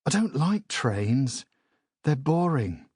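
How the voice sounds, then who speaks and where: A man's voice: mid-range voice, very animated, slow pace, a little mellow, husky, dry recording, studio quality, voice pushed up, exaggerated voice. A man voicing an anxious, sulky young boy in a children's audiobook, pleading and reluctant. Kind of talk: audiobook character voice.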